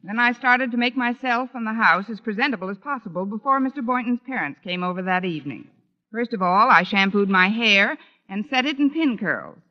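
An actress playing a wry, quick-witted schoolteacher in a vintage radio sitcom, with dry wisecracking delivery. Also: female voice, a little high, lightly acted. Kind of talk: 1940s-50s radio sitcom acting. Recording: muffled vintage radio recording.

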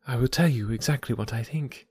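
A man voicing a young gentlewoman who speaks eagerly and earnestly in a period audiobook. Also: mid-range voice, slightly rough, no echo. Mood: gentle, earnest. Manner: quick eager pleading.

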